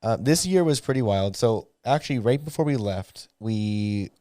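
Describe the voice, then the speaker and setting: A hoarse, fairly low-voiced man hosts a casual podcast chat. He rambles and keeps correcting himself as he tells a story. The sound is close and dry. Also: lively intonation, normal speed, warm tone, no echo. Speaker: podcast host, board shop owner.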